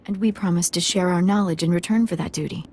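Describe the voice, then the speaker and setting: An actress playing a sympathetic, dignified village leader in a video game, formal and gentle. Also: female voice, lowish voice, fairly even intonation, quick pace, natural voice. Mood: solemn gratitude.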